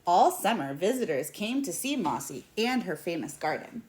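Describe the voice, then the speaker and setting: A woman in her thirties reads a children's picture book aloud at home. Her voice sits a little low for a woman. She phrases things deliberately and with expression, as a storyteller would. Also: sing-song, a little mellow, slight background noise. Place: small indoor room at home.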